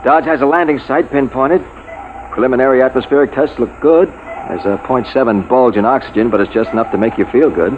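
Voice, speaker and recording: An actor playing an efficient second officer reporting matter-of-factly in a science-fiction radio drama. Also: male voice, mid-range voice, brisk, close to an everyday voice. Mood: businesslike. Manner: businesslike, matter-of-fact. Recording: thin lo-fi radio sound, faint noise.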